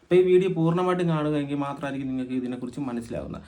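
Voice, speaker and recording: A man's voice: mid-range voice, very fast, dark tone. Man in an informal explainer vlog. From Kerala, India. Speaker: video host and cassette collector.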